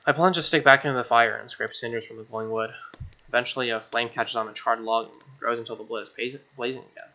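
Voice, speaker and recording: A man's voice: mid-range voice, fairly even intonation, fast, a little hiss or hum. A man reading a visual novel aloud as a let's player, narrating the story and tossing in casual reactions. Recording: dull lo-fi mic under loud music. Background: loud game music.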